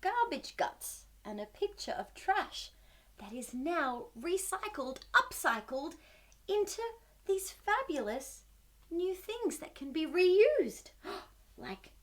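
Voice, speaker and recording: Female children's storytime host: very lively pitch swings, unhurried delivery, exaggerated wonder for young listeners. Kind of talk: animated picture-book read-aloud for young children.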